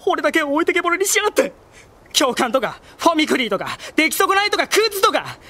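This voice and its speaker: A man acting a petulant, insecure young nobleman in an anime audio drama, brash and rough-spoken. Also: very high-pitched, very animated, fast, sharp tone, exaggerated voice. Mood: angry, wounded. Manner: brash, rough-spoken, whining when cornered. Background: background music.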